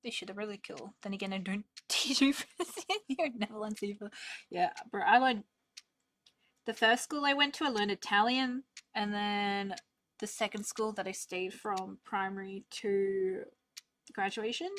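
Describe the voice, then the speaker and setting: A woman's voice: medium pitch, moderate pace, a bit bright, a little hiss or hum. A young woman chatting casually and rambling while she studies on a stream. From Australia. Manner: rambling, chatty, many "like" fillers.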